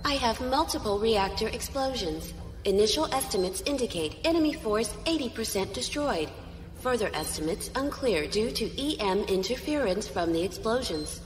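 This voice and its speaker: Woman doing calm bridge officer lady reading out status, matter of fact, big echoey hall reverb, sci-fi audio drama. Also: on the high side, moderate pace, lightly acted. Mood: detached, businesslike. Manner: clinical, matter-of-fact reporting.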